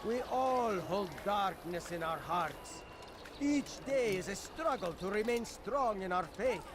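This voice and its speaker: An actor playing a devout, zealous street preacher in a video game, declaiming in a solemn, preachy way. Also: male voice, high voice, expressive, slow pace, background noise. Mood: fervent, righteous. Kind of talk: oratory in a video game cutscene.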